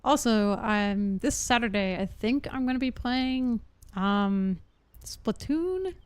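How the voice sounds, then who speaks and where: A woman's voice: on the high side, expressive, slow pace, clear, resonant tone, no echo. A woman giving casual live-stream gameplay commentary, musing aloud in an informal way. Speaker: game streamer.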